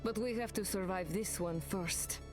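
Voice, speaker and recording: A woman's voice: medium pitch, normal speed, soft background music, lightly acted. A woman voicing a proud, blunt war captain in a video game, battle-hardened and stern. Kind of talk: video game character dialogue.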